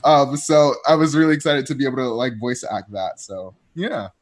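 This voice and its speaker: A man's voice: mid-range voice, lively intonation, normal speed. A man speaking as an interview guest, casual, earnest and informal. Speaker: voice actor, guest.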